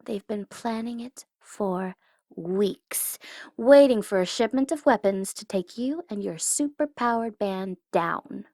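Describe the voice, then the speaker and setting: A woman's voice: medium pitch, expressive, dark tone, no echo, studio quality. A woman playing a taunting, coy and commanding supervillain who is secretly smitten, in an ASMR audio roleplay. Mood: sly, persuasive. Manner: taunting, coy, commanding, with drawn-out deliberate phrasing. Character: teasing, menacing tsundere female supervillain, secretly smitten.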